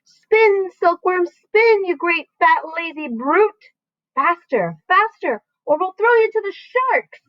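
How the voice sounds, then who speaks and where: A woman's voice: very high voice, expressive, slow pace, dry recording, highs cut off, pitched above the performer's own voice, full character voice. A woman voicing a bossy, frantic male centipede who bellows orders, in a storybook reading. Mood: frantic shouting.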